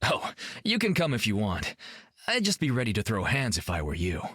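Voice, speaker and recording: A man's voice: fairly low pitch, huge pitch swings, moderate pace, a bit bright, husky, dry recording, clean studio sound, full character voice. A young actor playing a brash, cocky male martial artist in a video game, joking and upbeat. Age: young adult.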